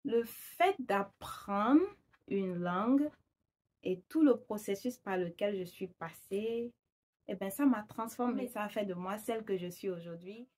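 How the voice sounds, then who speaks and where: Woman chatting to camera, lively and friendly, throws in english words, mid-pitch voice. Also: unhurried. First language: Fon or Gun. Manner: upbeat, addresses viewers directly, mixes in English words. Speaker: language vlogger. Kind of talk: casual direct-to-camera talk.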